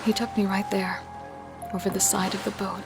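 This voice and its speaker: A woman playing a thrill-seeking, remorseless killer and thief, narrating in an intimate, breathy way for a horror audio drama. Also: mid-range voice, flat delivery, normal speed, natural voice. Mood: sultry, confident. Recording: music under the voice. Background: music.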